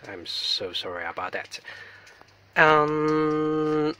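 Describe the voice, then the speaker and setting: A man's voice: a little high, lively intonation, drawn-out words, sharp tone. A man with a Hong Kong accent, unboxing a product in a casual vlog, hesitant and apologetic with many ums, recorded on a mobile phone. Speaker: vlogger. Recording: distant phone mic with faint noise.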